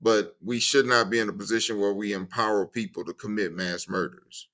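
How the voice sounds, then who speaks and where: A man in his fifties, a podcast guest, giving measured and deliberate opinions. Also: on the low side, fairly even intonation, bright, forceful tone. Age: 50s. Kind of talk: interview answers.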